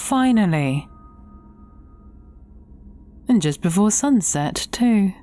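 A woman playing a menacing, gloating evil fairy sorceress in a fairy-tale audio drama, taunting deliberately and often dropping near a whisper. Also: medium pitch, lively intonation, mellow, soft background music. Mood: smug gloating.

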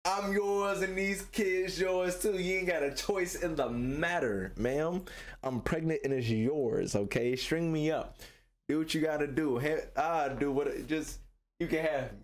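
A young American man giving casual, excited reaction commentary, slangy and playful, often repeating his phrases. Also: a little high, measured pace. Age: young adult. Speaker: reaction video host. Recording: loud game music behind voice.

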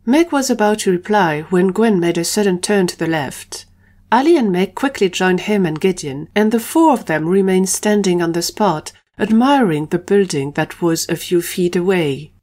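A woman's voice: on the low side, animated, normal speed, darkish tone. A woman narrating a scripted audio drama story.

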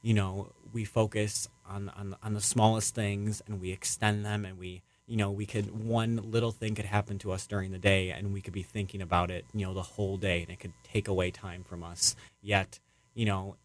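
A man's voice: lowish voice, level tone, moderate pace, no echo. A young man answering interview questions as a playwright, casually, in a hesitant, rambling and reflective way.

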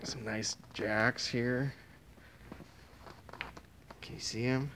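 A man strolls through a warehouse filming with his phone, naming items for an upcoming auction in an unhurried, offhand voice. He talks slowly and trails off mid-phrase at times. A faint hum of room noise sits behind him. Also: medium pitch, fairly even intonation, fairly bright tone. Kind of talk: casual walkthrough narration. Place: indoor warehouse and tent. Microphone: handheld phone camera.

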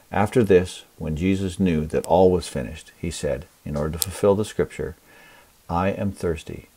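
Very deep-voiced man reading scripture. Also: dark tone, breathy edge, recorded from a distance. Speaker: scripture reader at a church service.

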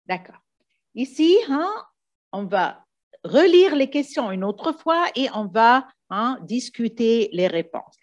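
Slow-paced woman teacher. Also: a little high, big pitch swings, dull audio. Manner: didactic, repeats key phrases slowly, checks understanding often. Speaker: French teacher. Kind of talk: exam coaching lesson, switching between two languages.

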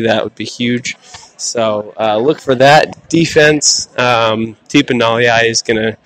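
A man's voice: mid-range voice, a bit bright, rough recording. A man hosting a casual solo sports podcast, breaking down a team's players with frequent ums and restarts. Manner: halting, lots of ums and restarts. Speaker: podcast host.

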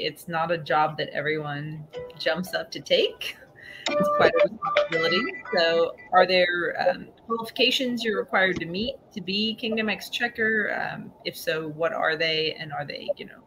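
A woman co-hosting a panel over a video call. She asks questions in a friendly, curious way. Her voice sits a little low for a woman. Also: a bit bright, rough recording. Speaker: co-host asking questions. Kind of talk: casual panel talk. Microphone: webcam on video call.

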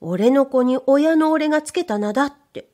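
A woman voicing a shy young maidservant who speaks in a soft, bashful whisper. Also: high voice, moderate pace, voice pushed up, lightly acted.

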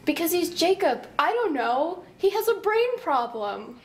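A woman's voice: very high voice, big pitch swings, a little slow, heavily acted. A woman playing an exasperated young woman in a comic phone conversation. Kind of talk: comedic phone-call dialogue.

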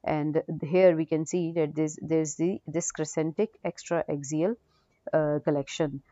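A woman's voice: sits low, fairly even intonation, unhurried, dark tone, no echo. A woman giving an unscripted radiology case lecture, explaining in a hesitant, repetitive way. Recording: dull, dry lo-fi audio.

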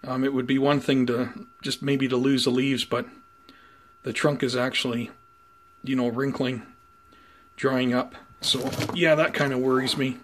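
A man giving an unhurried, conversational show-and-tell as a hobby vlogger, talking casually indoors. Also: medium pitch, level tone. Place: indoors at home by plant shelves. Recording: clear background noise, room ambience.

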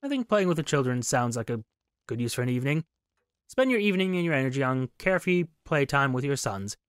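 A man's voice: medium pitch, big pitch swings, quick pace, clear, resonant tone, dry recording, studio quality. A man doing casual game commentary in a musing, curious, hesitant way as he plays and reads along. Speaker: gaming video host. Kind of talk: casual game commentary mixed with reading dialogue aloud.

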